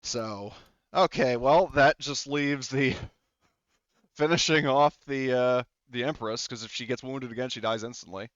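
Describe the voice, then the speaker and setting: Guy gm just rambling thru the dice rolls, smooth clear voice, dry muffled mic, lots of laughing. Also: medium pitch, measured pace, a bit bright, no room sound. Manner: loose, rambling, laughs often. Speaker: game master. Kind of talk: running a tabletop game over a call. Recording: dull, lo-fi mic sound.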